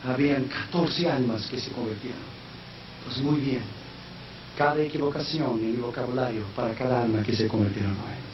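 A man preaching a sermon in a language that is not his first, slipping in English phrases and rising into shouted, sung-out peaks. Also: medium pitch, normal speed. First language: not Spanish. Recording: echoey, distant, dull sound with faint noise.

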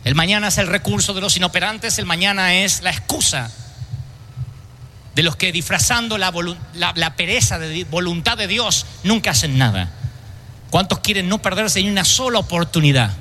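A man delivers a sermon to a church congregation, pressing his points and throwing questions at the listeners. His voice sits high for a man and rings very bright. Faint noise runs under the recording. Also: expressive, moderate pace. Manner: emphatic preaching with rhetorical questions to the congregation. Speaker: preacher. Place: church service.

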